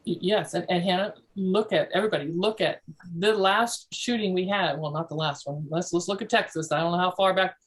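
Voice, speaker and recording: A woman's voice: fairly low pitch, brisk. A woman hosting a parenting podcast as a family therapist, leading a group discussion and repeating phrases for emphasis.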